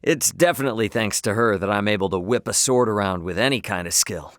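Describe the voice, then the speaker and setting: A man's voice: on the low side, a little fast, a bit bright, dry recording, clean studio sound, natural voice. A male actor playing a brash, good-hearted young spacefaring captain in a video game, with casual, slangy, plain-spoken delivery. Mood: appreciative.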